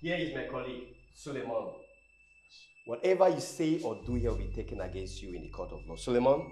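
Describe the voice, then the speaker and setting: An actor playing a commanding police detective who gives brisk orders. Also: male voice, mid-range voice, warm tone, lightly acted. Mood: stern. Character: stern male police detective. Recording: echoey room.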